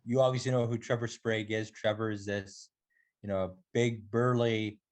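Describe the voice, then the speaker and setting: A man co-hosting a podcast, setting up a question slowly and descriptively. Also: on the low side, flat delivery. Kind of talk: casual interview.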